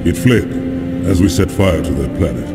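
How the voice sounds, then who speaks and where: Deep-voiced proud alien commander. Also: male voice, moderate pace, over loud music. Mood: solemn. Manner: formal, dignified, defiant. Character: proud, noble disgraced alien warrior commander. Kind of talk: formal dramatic video game acting.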